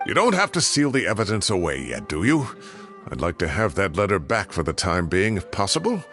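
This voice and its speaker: An actor playing a fussy, indignant retired professor of advanced age, grumbling and theatrical, in a game scene with faint music. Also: male voice, deep voice, huge pitch swings, fairly quick, fairly bright tone, full character voice. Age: older.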